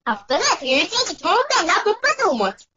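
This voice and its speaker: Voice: very high-pitched, huge pitch swings, normal speed. A teacher character and narrator in a homemade cartoon, giving out homework instructions. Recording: dull lo-fi recording with noise.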